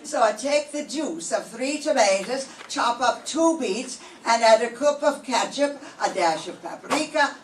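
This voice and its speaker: A woman's voice: on the high side, expressive, measured pace, hardly any pauses, slight background noise. An older woman reading the part of a chatty widowed landlady in a radio sitcom, gossipy and motherly, recorded from far off in a roomy classroom. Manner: gossipy, motherly, calls others dearie. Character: chatty widowed landlady Mrs. O'Reilly, vain about her age. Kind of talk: 1940s radio sitcom acting.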